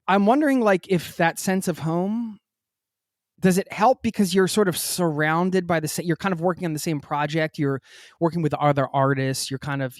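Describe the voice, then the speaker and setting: Man from pennsylvania, podcast host, mid pitch, rambling questions, dry close sound. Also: no room sound. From Pennsylvania, United States. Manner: rambling, searching for words, many restarts. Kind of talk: casual interview chat.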